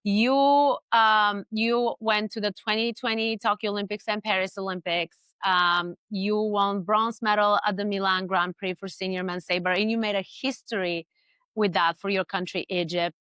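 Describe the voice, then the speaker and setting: Woman hosting a podcast, bright and crisp, admiring and unhurried. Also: mid-range voice, fairly even intonation, no room sound. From immigrant to the United States. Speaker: podcast host. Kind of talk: interview.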